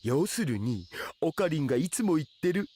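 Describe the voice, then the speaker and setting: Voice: medium pitch, very animated, moderate pace, dark tone, breathy edge. A performer playing a laid-back, nerdy young male otaku in a Japanese anime radio drama, talking in a casual, slangy way. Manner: casual, lazy drawl with internet slang.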